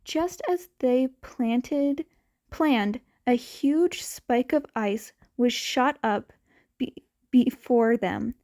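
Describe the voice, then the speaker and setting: A woman reading fan fiction aloud as a scripted narration, deliberate, with the odd small stumble over a word. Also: on the high side, a little mellow. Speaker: podfic narrator.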